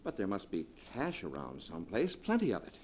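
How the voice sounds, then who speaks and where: An actor playing a scheming nephew in a 1940s radio drama, speaking in a suave, mocking way. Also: male voice, low-pitched, huge pitch swings, a little fast, a little mellow. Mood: sardonic. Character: scheming nephew, smooth and sardonic. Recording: old radio broadcast recording.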